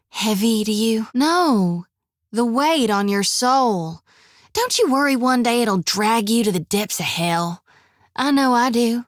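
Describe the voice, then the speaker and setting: A woman voicing a folksy, devout young priestess in a video game, with an earnest, expressive Southern US drawl. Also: fairly high pitch, dry recording, studio quality. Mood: brooding. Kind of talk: video game character voice lines.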